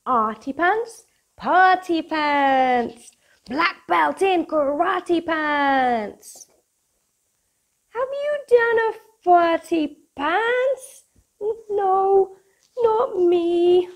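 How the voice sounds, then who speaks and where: A British woman in her thirties presenting for young children in a playful, expressive, sing-song manner, as if hosting a picture-book club. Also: very high voice, drawn-out words. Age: 30s. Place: small room at home, indoors. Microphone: lapel mic.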